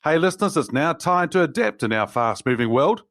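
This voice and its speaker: A man's voice: a little high, animated, fairly quick, fairly bright tone, no room sound, studio quality. A man hosting a podcast interview, welcoming his guest with upbeat enthusiasm over a remote call. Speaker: podcast host. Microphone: remote call recording.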